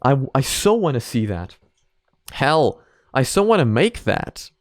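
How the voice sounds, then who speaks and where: Young adult male vlogger, mid-pitched, opinionated and emphatic, talking off the cuff, close dry sound. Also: sing-song, measured pace, no room sound. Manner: opinionated, emphatic, mildly sweary. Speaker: reaction video host. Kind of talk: casual off-the-cuff opinion commentary.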